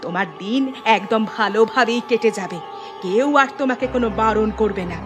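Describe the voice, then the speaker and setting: Lady doing an angry cartoon wife telling off her husband, bitter and emphatic, loud music under her. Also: on the high side, brisk, close to an everyday voice. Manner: scolding, emphatic repetition. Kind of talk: dubbed cartoon village drama.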